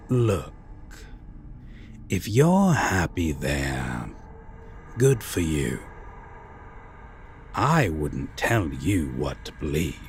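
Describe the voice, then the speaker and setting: Very deep, slow sardonic demon played by a man. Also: sing-song, a little hiss or hum, heavily acted. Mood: resolute, disdainful. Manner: sardonic, deliberate, drawn-out phrasing with pauses. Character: bitter, sardonic fallen angel demon, male. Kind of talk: dramatic audio roleplay monologue.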